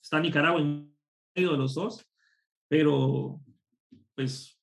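A man from Costa Rica, a programmer and course instructor, telling lively personal stories as a guest in a casual interview. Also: on the high side, slow, creaky. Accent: none, a neutral Spanish. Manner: lively anecdotal storytelling.

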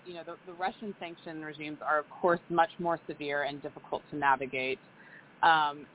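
A woman giving a measured update on a podcast, often repeating words as she rephrases. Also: low-pitched. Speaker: charity vice president. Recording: tinny phone-like line with faint hiss.

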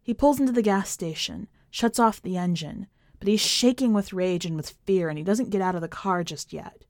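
A woman's voice: mid-range voice, moderate pace, a little mellow, dry recording, studio quality. A woman podcast host who reads fiction aloud as a narrator and comments on it. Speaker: podcast host and editor reading a short story.

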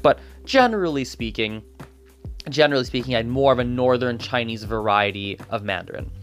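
A man's voice: mid-range voice, a bit bright. A man whose first language is Gujarati, talking through his thoughts in a casual, rambling monologue full of restarts and asides. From Canada. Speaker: polyglot vlogger.

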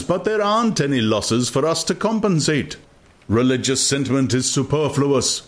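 A man playing a deep-voiced, learned ruler in a dramatized audiobook, speaking deliberately and calmly persuasive, with oratorical phrasing. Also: natural voice. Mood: calm, reasoning.

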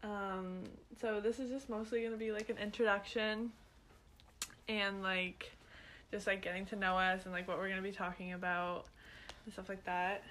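A woman's voice: medium pitch, flat delivery, moderate pace, fairly bright tone, a little hiss or hum. A young woman from Canada co-hosting a podcast, chatting nervously with many "um"s and "like"s. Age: young adult. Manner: nervous, giggly, heavy use of like and um. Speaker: podcast co-host. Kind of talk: casual chat.

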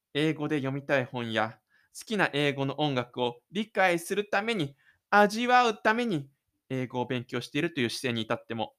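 A man playing a shy, stubborn high-school boy who boasts scornfully and defensively in his inner monologue. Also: a bit bright, smooth. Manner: cocky, defensive, scornful muttering. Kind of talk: inner-monologue radio drama acting.

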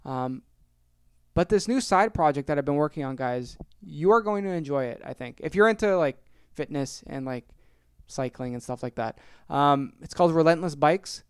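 A young Canadian man hosting his own podcast, rambling casually, repeating his phrases and filling pauses. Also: a little high, clean tone, dry recording, studio quality. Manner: chatty, rambling, repeats phrases, many fillers. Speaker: podcast host.

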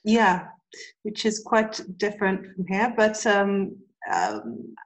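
A woman from Sweden talks as a guest over a remote call, her voice at a middle pitch. She is relaxed, laughs softly, and pauses often on ums. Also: poor audio. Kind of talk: casual chat.

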